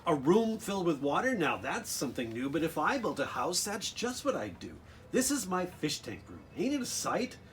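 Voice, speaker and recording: A man's voice: a little high, big pitch swings, normal speed, hardly any pauses, fairly bright tone, slight background noise. A man reading a children's picture book aloud expressively, with casual asides addressed straight to young listeners. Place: outdoors.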